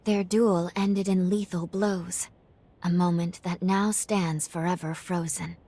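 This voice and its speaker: A woman acting a gentle, solemn young female guide in a video game cutscene, speaking in a formal, earnest, measured way. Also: lowish voice, level tone. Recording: music under the voice. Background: background music.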